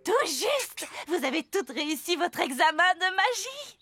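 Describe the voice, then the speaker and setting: A woman's voice: very high voice, very animated, a little slow, sharp tone, no echo, heavily acted. A woman voicing a cheerful, breezy female character in a children's cartoon. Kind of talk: animated children's cartoon acting. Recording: music playing behind the voice.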